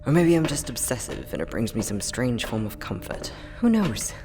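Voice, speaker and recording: A man playing a friendly, teasing time-traveling inventor, soft-spoken and chatty in an ASMR roleplay audio drama. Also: mid-range voice, big pitch swings, moderate pace, over music. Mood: eager, proud. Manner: soft-spoken, chatty, playful, with frequent chuckles.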